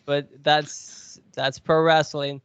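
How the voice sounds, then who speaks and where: A man's voice: a little high, level tone, takes it slowly, a bit bright, smooth, no room sound, highs cut off. Sniffly man hosting a podcast. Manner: chatty, joking, quizmaster. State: sniffly with a cold. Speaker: podcast co-host. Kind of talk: casual podcast chat.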